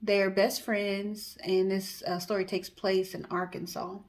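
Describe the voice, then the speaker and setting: A woman's voice: medium pitch, level tone, a little slow. A woman co-hosting a storytelling show, talking in a casual, conversational way with chatty explanatory asides. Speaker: co-host telling stories in a guessing-game show.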